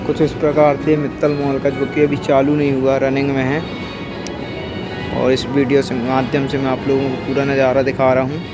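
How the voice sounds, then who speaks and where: A young man giving a casual walking tour of a mall at night, filmed on a handheld phone, with loud music playing behind him. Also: medium pitch, normal speed. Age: young adult. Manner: repetitive, even-toned tour commentary. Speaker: vlogger. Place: large indoor mall and parking at night. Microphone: handheld phone camera.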